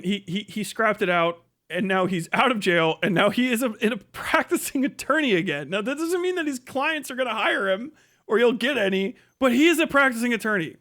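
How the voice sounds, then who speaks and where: A man's voice: sits high, a bit bright. A man giving casual livestream commentary in a blunt, profane, rambling way. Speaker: livestream host.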